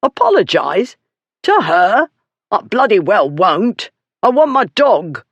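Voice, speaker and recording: A narrator voicing a coarse, drunken man who blusters and shouts in rough working-class speech. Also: medium pitch, lively intonation, a little slow, no room sound, muffled recording. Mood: blustering. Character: drunken coarse male dog beater, blustering.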